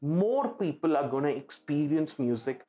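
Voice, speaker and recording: Man pitching a product over music. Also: expressive, moderate pace, mellow. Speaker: company presenter of a product video. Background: background music.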